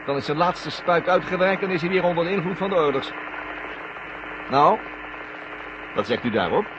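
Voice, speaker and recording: An actor playing a smug, scornful traitor astronaut who talks in a mocking, condescending way, in a 1970 Dutch radio drama. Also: male voice, a little high, lively intonation, normal speed, noisy background, lightly acted. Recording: muffled, humming 1970 radio recording.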